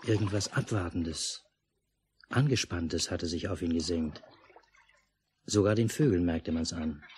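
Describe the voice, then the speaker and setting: A man playing a reflective father who narrates in the first person, measured and literary, in a 1950s German radio drama. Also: low voice, a little mellow. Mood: pensive. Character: pensive father narrating his lakeside memory. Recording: noisy 1950s mono radio recording.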